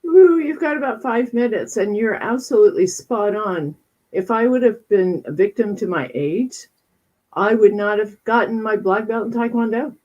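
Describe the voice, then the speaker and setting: A woman's voice: medium pitch, moderate pace, darkish tone, dull audio. A woman in her sixties, co-hosting and chatting in an upbeat, conversational way. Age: 60s. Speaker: co-host. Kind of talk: casual chat.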